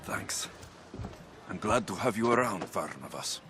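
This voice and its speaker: An actor playing a determined mercenary captain in a video game, firm and quietly pressing. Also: male voice, sits low, lively intonation, unhurried, over music. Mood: earnest. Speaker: game protagonist.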